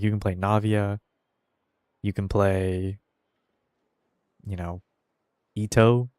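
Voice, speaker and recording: Low-voiced, laid-back man chatting on stream. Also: a little mellow, dry recording, clean studio sound. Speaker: game streamer. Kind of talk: casual livestream chat while gaming. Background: faint music.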